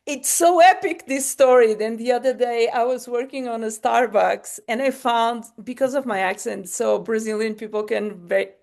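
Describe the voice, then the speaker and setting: A woman's voice: a little high, normal speed, gravelly, hardly any pauses, darkish tone. A woman hosting a podcast, chatting casually and rambling, laughing and cutting herself off. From Portugal. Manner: rambling, laughing, self-interrupting. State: short on sleep. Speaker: podcast host. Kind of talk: casual chat.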